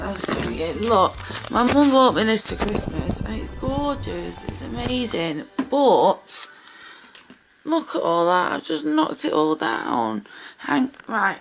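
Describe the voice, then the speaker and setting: A woman's voice: fairly high pitch, creaky, background noise. A British woman chatting casually and off the cuff, rambling and self-deprecating in an informal vlog style. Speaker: vlogger. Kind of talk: casual unscripted chatty get-ready-with-me.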